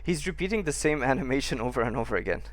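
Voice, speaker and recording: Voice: mid-range voice, lively intonation, rapid-fire. A gaming streamer giving casual, amused playthrough commentary in an informal, rambling way. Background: game music.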